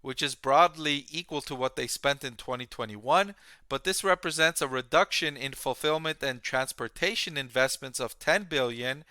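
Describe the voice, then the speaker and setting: A man hosting a stock analysis, explaining financial results to viewers plainly and deliberately. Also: medium pitch, bright, forceful tone, clean tone, no room sound, studio quality.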